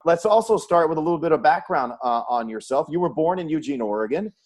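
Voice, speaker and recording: A man's voice: a little high, lively intonation, quick pace, a little mellow. An American man working as a sports show host, asking questions in a conversational interview style.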